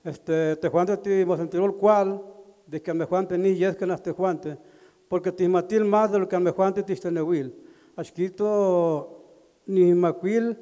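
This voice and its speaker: A middle-aged man speaks into a handheld microphone in a crowded hall. His voice sits high yet sounds dark and mellow, and he talks haltingly with pauses and fillers. A long reverb trails each phrase. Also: steady tone. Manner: halting, with pauses and fillers, switching between languages. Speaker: community member at a consultation. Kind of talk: public address. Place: large indoor community hall. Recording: echoey hall over a PA.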